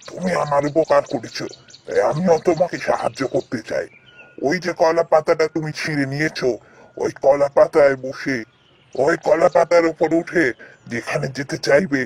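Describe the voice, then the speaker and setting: A man's voice: fairly high pitch, moderate pace, husky. A man voicing a suffering but kindly talking banana tree in a fairy-tale cartoon. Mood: grateful, helpful.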